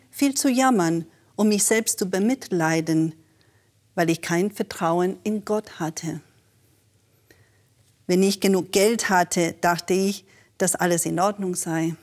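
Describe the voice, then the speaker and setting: A woman with a mid-range voice reads letters from listeners on air. She speaks gently and reflectively, in a scripted reading style. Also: medium pitch.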